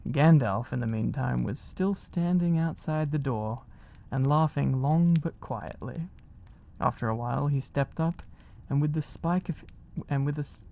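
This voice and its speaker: A man narrates a storybook for ASMR in a dark, mellow voice with plenty of creak. His delivery is gentle, cosy and unhurried. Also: on the high side. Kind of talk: soft storybook reading, ASMR.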